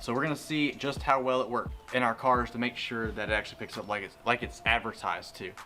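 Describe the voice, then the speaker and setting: Male vlog host, mid-pitched, casual and plain-spoken product reviewer, with music underneath. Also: fairly even intonation, fast talker, pushed and bright. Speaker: host of a car tech review channel.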